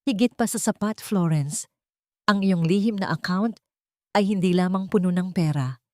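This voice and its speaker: A woman's voice: lowish voice, normal speed, warm tone, no room sound, studio quality, close to an everyday voice. Grave, assured male lawyer read by a woman.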